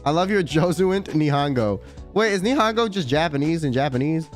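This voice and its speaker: A man's voice: fairly high pitch, expressive, fairly quick. A young man streaming a casual late-night chat, rambling in slang and reading donations aloud. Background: faint background music.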